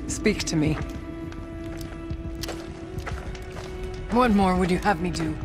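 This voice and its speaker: A woman's voice: lowish voice, natural voice. An actress playing a devout, anguished female shaman in a video game, with an intense, reverent and dramatic delivery. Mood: pleading. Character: fervent, anguished female shaman devoted to a spirit. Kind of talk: video game dialogue acting.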